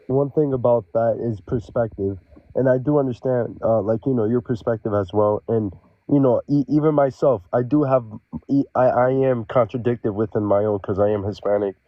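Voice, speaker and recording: A man's voice: mid-range voice, moderate pace, no echo. Hesitant New man, dull dry sound. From the Bronx, New York. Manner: hesitant, lots of fillers, agreeable. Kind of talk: casual podcast discussion.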